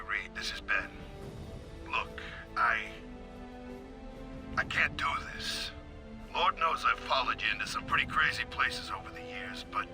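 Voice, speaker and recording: A man voicing a gruff, plain-spoken, heavy-hearted blue-collar superhero who talks haltingly in a game. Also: mid-range voice. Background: music.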